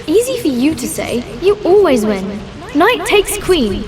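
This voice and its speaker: A woman's voice: extremely high pitch, sing-song, unhurried, crowd noise, exaggerated voice. A female performer playing an eager, competitive little English girl in a video game, spirited and determined. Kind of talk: dramatic voice acting in a video game.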